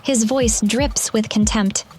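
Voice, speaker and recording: A woman playing a resolute, sorrowful goddess who narrates an audio drama in a steady, earnest, solemn voice. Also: on the high side, slow, slight background noise. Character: resolute, sorrowful goddess heroine narrating her last stand. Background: music.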